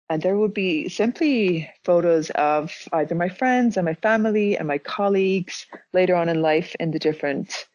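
Reflective woman, low voice, dry sound. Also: creaky, darkish tone, no room sound, poor audio. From Zimbabwe. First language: Dutch. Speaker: interviewee. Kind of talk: casual conversation.

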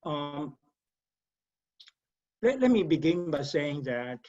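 Slow, deliberate Taiwanese-accented man. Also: a little high, big pitch swings, a little mellow, highs cut off. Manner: deliberate, halting, frequent repetitions and fillers. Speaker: professor. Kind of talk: reflective conversation.

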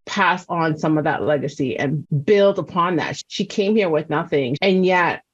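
A woman's voice: low voice, normal speed, slightly rough, lo-fi sound. A woman in her forties from New York City, a guest telling family stories in an earnest, chatty way full of "you know" and "right?". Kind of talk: casual interview chat. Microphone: video call.

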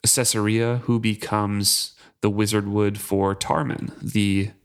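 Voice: low voice, little pitch movement, takes it slowly, smooth. A young adult co-hosting a casual book podcast, steadily reading out listener comments and emails with small fillers. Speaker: podcast co-host.

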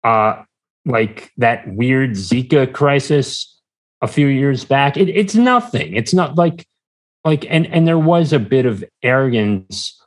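A man speaking as a guest in a casual interview, hesitant and halting as he searches for his words. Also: medium pitch, animated. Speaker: podcast guest, entrepreneur.

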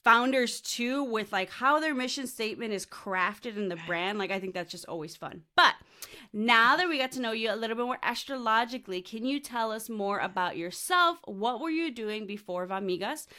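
Woman podcast host, bubbly, enthusiastic, bright voice, fairly high pitch. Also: lively intonation, normal speed. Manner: bubbly, enthusiastic, laughs often, drops in Spanish slang. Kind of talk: casual interview with astrology talk.

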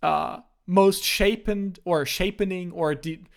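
A young man from Germany, casually telling stories as a podcast host, in a relaxed way with frequent restarts. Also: high-pitched, slow pace, gravelly.

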